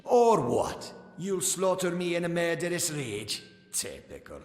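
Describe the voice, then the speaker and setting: An actor playing a smug, menacing gnome scholar villain in a fantasy game, with a condescending, taunting, theatrical manner. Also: male voice, on the high side, very animated, unhurried. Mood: mocking, threatening. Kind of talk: scripted fantasy game dialogue. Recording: echoey hall reverb, music behind.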